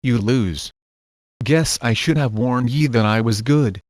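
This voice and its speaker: A sly pirate rogue acted by a man drawls at a slow, unhurried pace in a theatrical pirate brogue. He swaggers and wheedles like a cartoon game villain, recorded close and dry. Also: medium pitch, no room sound, lightly acted. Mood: sly, bargaining. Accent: stereotypical pirate brogue. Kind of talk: video game character acting.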